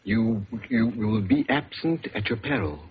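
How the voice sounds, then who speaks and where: An actor playing a secretive, dry, watchful middle-aged man in a deliberate, musing 1940s radio style. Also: on the low side, lively intonation. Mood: grave, musing. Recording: noisy, dull vintage radio recording.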